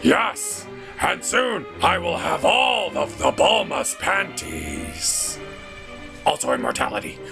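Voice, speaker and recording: A man voicing a shady, lecherous dealer in a comedy skit, scheming and gravelly, over background music. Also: fairly high pitch, wildly swooping pitch, slow, heavily acted. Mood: gloating. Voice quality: gravelly, creaky.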